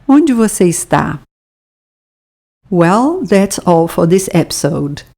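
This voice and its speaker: A woman with a Brazilian accent leads a scripted language lesson. She reads each phrase very slowly and deliberately, leaving room for the listener to repeat it. Her voice is mellow and sits a little low. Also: very animated. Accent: Brazilian Portuguese. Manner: slow, careful bilingual reading with pauses for repetition. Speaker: language teacher.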